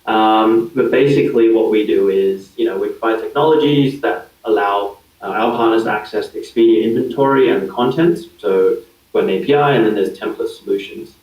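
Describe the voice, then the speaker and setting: A man in his thirties with an Australian accent, explaining his work as a guest in a relaxed interview, with many fillers and restarts. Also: on the low side, fairly quick. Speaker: guest, digital consultant. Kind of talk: casual interview answers. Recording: far from mic, echoey, dull lo-fi.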